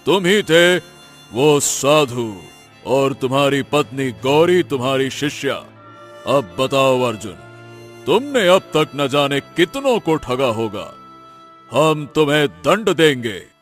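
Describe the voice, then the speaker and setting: A man's voice: mid-range voice, expressive, takes it slowly. A man playing a proud, outwitted king in a cartoon story, with a dramatic, stately delivery over music. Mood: stern. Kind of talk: animated story character acting. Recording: background music under the voice.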